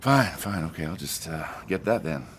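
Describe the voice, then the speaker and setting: A man's voice: low-pitched, lively intonation, moderate pace, fairly bright tone. An actor in an audio drama playing a casual, penny-pinching man who is guarded beneath his folksy talk. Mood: grudging. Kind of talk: audio fiction drama acting.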